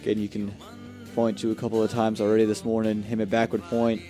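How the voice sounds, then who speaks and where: A man's voice: on the low side, monotone, fast, mellow, faint music behind. A man giving casual analysis as a live cricket co-commentator, conversational and easygoing.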